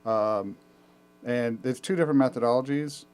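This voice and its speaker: A man's voice: mid-range voice, moderate pace, darkish tone, clear, resonant tone. A man co-hosting a podcast who chats casually, with an emphatic, wry delivery. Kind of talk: casual chat.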